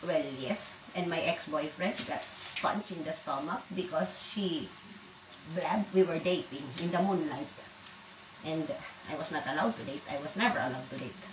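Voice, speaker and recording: A low-voiced woman with a Filipino accent rambles bluntly and swears as she cooks at home. She sits back from the mic in an echoey kitchen, and loud sizzling and stirring carry behind her voice. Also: moderate pace, far from the mic, noisy background. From Philippines. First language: Waray. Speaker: vlogger. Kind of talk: casual cooking vlog.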